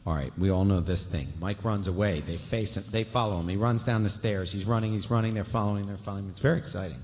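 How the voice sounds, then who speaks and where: A man's voice: on the low side, fast talker, darkish tone, a little hiss or hum. A man reading stage directions at a live table read, playful and wry, adding his own asides. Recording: large hall with long reverb.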